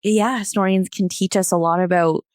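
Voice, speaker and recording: A woman talking casually as a discussion guest, thoughtful and tentative, pausing mid-sentence as she works out her answer. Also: medium pitch, fairly even intonation, darkish tone, no echo, studio quality. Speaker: podcast guest, theatre artist.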